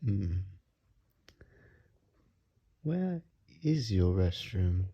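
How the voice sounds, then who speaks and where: Guy doing a polite flattering vampire gentleman, asmr roleplay, soft and breathy, dark mellow tone, kinda muffled recording. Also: on the low side, drawn-out words, heavily acted. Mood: grateful, charmed. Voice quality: soft, breathy, often whispered. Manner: polite, old-fashioned, hesitant, flattering. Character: courteous, ingratiating gentleman vampire visitor.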